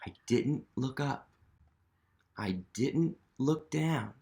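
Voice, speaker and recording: A man's voice: medium pitch, drawn-out words, gravelly, warm tone. A man voicing a nervous egg who climbs back up a wall, read earnestly in first person from a storybook.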